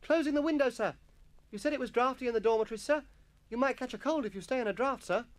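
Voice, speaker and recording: A man voicing an eager, earnest English schoolboy, over-polite and excitable, in a children's story reading. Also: very high voice, quick pace, no room sound, voice pushed up. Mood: helpful.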